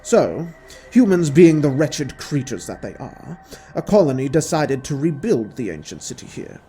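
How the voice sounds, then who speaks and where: A man's voice: mid-range voice, vocal fry, dark tone, husky, full character voice. Man acting a sardonic, lofty villain of audio drama. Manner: formal, lofty, unhurried. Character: sardonic, menacing male villain, coolly toying with his captive.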